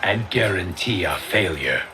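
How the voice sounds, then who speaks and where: A solemn, cautious warrior in a game cutscene. He talks slowly and gravely, with loud music under the voice. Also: low voice, lively intonation, over a noisy crowd. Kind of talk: video game cutscene acting.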